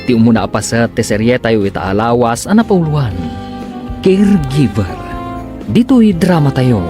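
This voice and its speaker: A man's voice: medium pitch, sing-song, moderate pace, over music. A man with an Ilocano accent reading a scripted introduction as a radio drama announcer.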